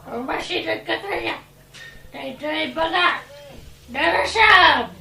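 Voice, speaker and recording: A woman's voice: very high-pitched, lively intonation, slow, creaky, pushed and bright, full character voice. A woman playing an impatient household member in a melodramatic audio drama, calling out insistently from a roomy distance.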